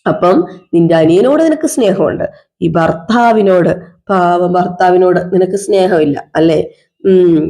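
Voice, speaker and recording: A woman voicing a drunk, self-pitying husband who accuses his wife in slow, repetitive, sarcastic phrases. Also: medium pitch, warm tone, lightly acted. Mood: sarcastic, bitter. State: drunk (as acted). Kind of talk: one-person domestic drama skit.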